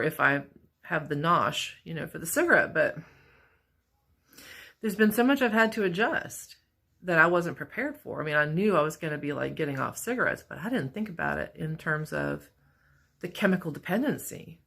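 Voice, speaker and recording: Middle-aged woman vlogger, raspy and gravelly, lowish voice, candid self-deprecating ramble. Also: fairly bright tone. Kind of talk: casual personal vlog. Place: office at work.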